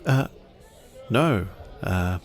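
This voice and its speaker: A man's voice: low-pitched, animated, extremely slow, exaggerated voice. A man roleplaying a thoughtful, observant traveller in a samurai tabletop game, musing aloud in a hesitant, improvised way. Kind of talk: improvised in-character dialogue.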